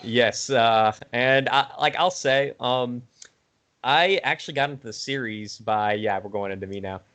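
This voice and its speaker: A man with a medium-pitched voice hosting a gaming interview. He speaks informally and conversationally as he puts questions to his guest over an online call. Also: unhurried, fairly bright tone. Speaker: interviewer, host.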